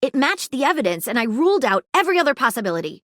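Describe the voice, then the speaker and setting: A woman's voice: high voice, big pitch swings, very fast, fairly bright tone, dry recording, clean studio sound. An actress voicing an eager female detective magnifying glass with theatrical noir-style sleuth patter in video game voice lines. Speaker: voice actor. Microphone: close studio mic.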